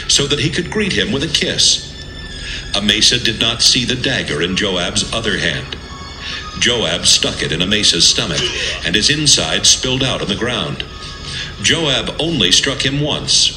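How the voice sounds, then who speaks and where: Guy narrating bible stories, lowish voice with lots of rise and fall, echoey with music under. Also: animated, moderate pace. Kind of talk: dramatic scripted Bible narration. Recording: echoey, music bed behind.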